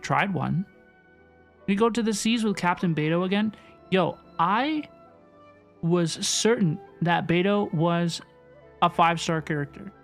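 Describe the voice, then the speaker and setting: A woman giving casual, chatty playthrough commentary as a livestreamer, often repeating phrases for emphasis. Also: mid-range voice. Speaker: video game livestreamer. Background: soft game music.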